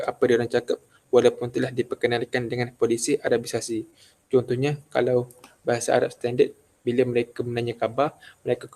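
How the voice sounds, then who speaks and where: A young man from Kelantan giving a halting class presentation, full of fillers and restarts. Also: mid-range voice, creaky, a little mellow. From Malaysia, Kelantan. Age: young adult. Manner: hesitant, many fillers and restarts. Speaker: student presenter.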